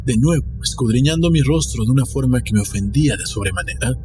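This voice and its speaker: A man narrates a literary tale as a scripted dramatic reading. Music plays clearly behind his voice throughout. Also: mid-range voice, lively intonation, quick pace, a little mellow. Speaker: audiobook narrator. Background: background music.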